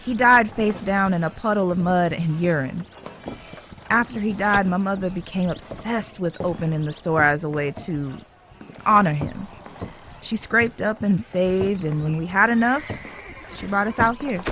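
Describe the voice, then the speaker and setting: A woman acting in an audio drama as a genteel young city woman out West, speaking politely and formally with an American accent and a gently teasing manner. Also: mid-range voice, moderate pace, a little hiss or hum, close to an everyday voice. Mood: frank, composed. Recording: clear background ambience with horse hoof sounds.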